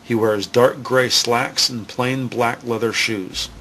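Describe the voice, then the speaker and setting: A man narrates an audio drama from a script, describing people and scenes. His voice sits at a medium pitch. There is faint ambient noise behind it. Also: fairly even intonation, takes it slowly, fairly bright tone, poor audio.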